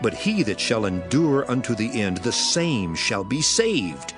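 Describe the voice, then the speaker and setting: Authoritative prophet, low voice, lively intonation, solemn, measured, declamatory, scripture drama. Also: male voice. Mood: grave, warning. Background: background music.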